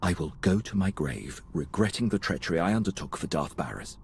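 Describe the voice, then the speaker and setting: Deep, gravelly, courtly military officer. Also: male voice, sing-song, fairly quick, a little mellow, a little hiss or hum, heavily acted. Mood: courtly, composed. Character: formal, deferential male Imperial military officer. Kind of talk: video game cutscene acting.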